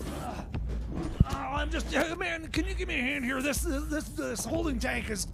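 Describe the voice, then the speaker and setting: A man's voice: very high voice, unhurried, gravelly, very noisy, exaggerated voice. A man playing a harried, grumbling lab tinkerer in radio comedy, stammering and put-upon. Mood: strained, irritated. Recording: music behind the voice.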